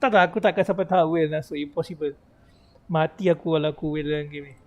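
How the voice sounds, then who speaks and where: Malaysian female game streamer, native Malay speaker, lowish voice, informal and teasing, off-the-cuff commentary. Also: steady tone, very fast, darkish tone. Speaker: game livestreamer. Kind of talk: casual livestream commentary mixing Malay and English.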